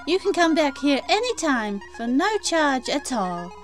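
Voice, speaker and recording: A man voicing a sweetly menacing female spider monster in a cartoonish game, dainty and teasing with drawn-out words. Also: very high-pitched, big pitch swings, fairly bright tone, soft background music, pitched above the performer's own voice, full character voice. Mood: sweetly apologetic.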